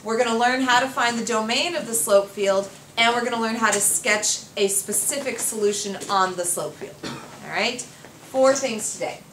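A woman's voice: on the high side, normal speed, fairly bright tone. A woman math teacher lecturing a class at a whiteboard, explaining step by step and quizzing students, recorded from far off in a classroom. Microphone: far camera. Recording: echoey classroom from far off, faint noise.

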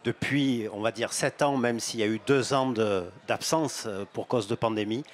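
A man in his fifties, a bank executive, giving measured explanatory answers in an interview at a fair stand, with some hesitations and repeated words. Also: mid-range voice, a little hiss or hum. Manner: gestures broadly while talking. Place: outdoor stand at an agricultural fair, on a sofa. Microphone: handheld microphone.

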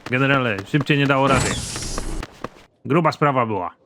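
A man voicing a crude, trigger-happy, dim and cocky army major in an animated comedy, gruff and deadpan. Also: medium pitch, normal speed, noisy background, voice pushed down, lightly acted. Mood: gruff, nonchalant. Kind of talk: animated comedy series dialogue.

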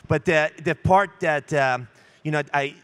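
A man's voice: fairly high pitch, unhurried, fairly bright tone, smooth. A middle-aged man interviewing a guest onstage, asking leading, conversational questions into a handheld microphone. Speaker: interviewer, publisher. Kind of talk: onstage fireside chat.

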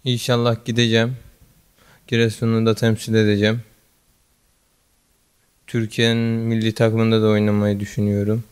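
A teenage student athlete giving brief, modest answers in a school office, speaking into a handheld mic. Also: male voice, lowish voice, completely flat delivery, normal speed, long pauses, smooth. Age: teens. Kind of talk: interview answers. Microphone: handheld mic held by interviewer.

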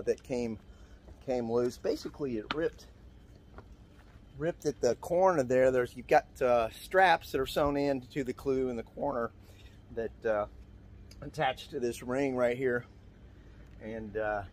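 A man's voice: medium pitch, a little hiss or hum. A middle-aged man vlogging from his sailboat at a marina. He talks casually and rambles, with lots of "uh"s and restarts. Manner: relaxed, rambling with many fillers. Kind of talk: casual vlog narration.

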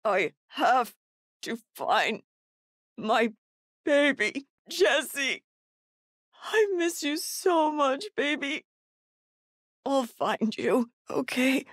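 A woman playing a frightened, hurt mother who searches desperately for her lost son, muttering haltingly to herself as in a survival horror game. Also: high voice, animated, no echo, clean studio sound, exaggerated voice. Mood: longing, grieving.

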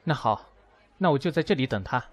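A man's voice: mid-range voice, animated, a little fast, close to an everyday voice. A man playing a proud, wounded math teacher in an audio drama, speaking in a curt, cool way. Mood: annoyed, insistent. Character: proud, wounded male math teacher rejecting his former lover. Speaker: voice actor in an amateur audio drama. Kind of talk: acted audio drama dialogue. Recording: music behind the voice.

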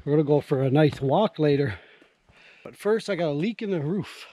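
A man's voice: a little high, lively intonation, moderate pace, dark tone, no room sound. Older Newfoundland man vlogging at his cabin. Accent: Newfoundland English. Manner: plain, matter-of-fact explaining of chores. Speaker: outdoor vlogger. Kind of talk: casual vlog narration. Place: outdoors by a forest cabin. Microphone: action camera held at arm's length.

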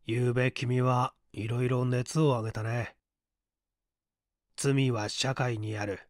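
A man's voice: mid-range voice, level tone, slow pace, no room sound. A cool, commanding tough man , voiced by a male reader, talks in a leisurely manner. His delivery is composed and lecturing, as in a crime audiobook, with a close, dry sound. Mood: stern, unhurried. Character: calm, unhurried tough man coolly lecturing his beaten foe.

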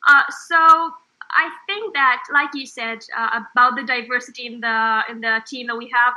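A woman from Israel, a startup founder, answering interview questions in a high voice, pausing often with fillers and restarts. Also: fairly even intonation, bright, forceful tone, low-quality recording. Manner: hesitant, many fillers and restarts.

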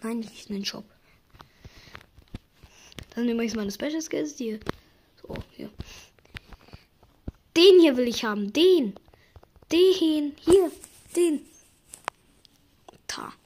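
A hoarse young woman giving casual gaming commentary, excitable and yelping at results, slipping into a raspy near-whisper when she talks quietly. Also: high-pitched, takes it slowly, long pauses. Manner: excitable, shrieks and groans at spin results. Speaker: gaming YouTuber.

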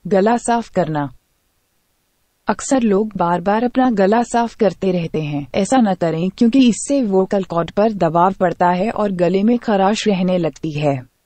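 A woman narrates a scripted health-tips voice-over in an even, reading tone. Her voice is dark and mellow, recorded dry and close with no room sound. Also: medium pitch, unhurried, dry recording.

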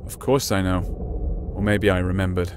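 An actor playing a world-weary soul in a video game, speaking in a grave, deliberate, brooding way. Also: male voice, little pitch movement, natural voice. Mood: grave, resolved. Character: world-weary male soul choosing to be reborn. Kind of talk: dramatic video game acting. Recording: low rumbling noise behind voice.

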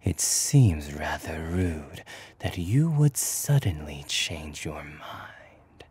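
A man voicing a slow, whispering, possessive demon. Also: rumbling low, sing-song, darkish tone, exaggerated voice. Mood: softly menacing. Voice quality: breathy whisper. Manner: slow, formal, deliberate. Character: menacing possessive male demon, coolly polite and controlling. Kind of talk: ASMR roleplay.

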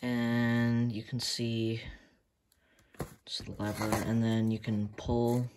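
A man hosts a casual show-and-tell vlog, speaking slowly in a hesitant, soft-spoken way. His pitch sits in an ordinary middle range. He is recorded indoors at home on a handheld phone camera. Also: monotone, background noise. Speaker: hobby channel host.

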